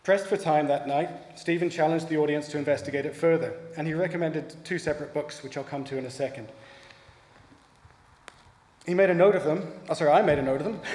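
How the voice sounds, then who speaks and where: A man's voice: a little high, darkish tone. A man with a New Zealand accent giving a prepared personal testimony at a church, in a plain, wry, self-deprecating way. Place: church hall. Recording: echoey hall with long reverb and faint ambience.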